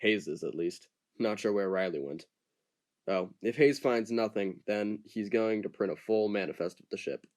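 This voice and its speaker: A man's voice: on the low side, normal speed, lightly acted. An actor playing a wary male ship captain in an amateur audio drama, firm and questioning. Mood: explaining. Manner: firm, interrogating.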